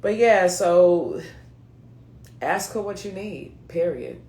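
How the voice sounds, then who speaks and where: A woman's voice: fairly low pitch, animated, takes it slowly, gravelly, darkish tone, roomy sound. A woman in her late thirties with a mixed US East Coast accent, giving a chatty, slangy card reading straight to her viewers. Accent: mixed US East Coast (New England, Philadelphia, North Carolina, Delaware). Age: late 30s. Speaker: card reader.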